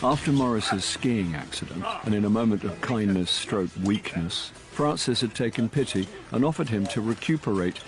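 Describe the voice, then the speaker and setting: A man's voice: on the low side, expressive, moderate pace, hardly any pauses. A droll, down-on-his-luck actor turned sleuth. He is dry and self-mocking, with a grumbling edge, in the manner of radio comedy-drama narration. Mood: wry narration. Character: wry, grumbling, unlucky actor and amateur detective. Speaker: actor playing lead character and first-person narrator.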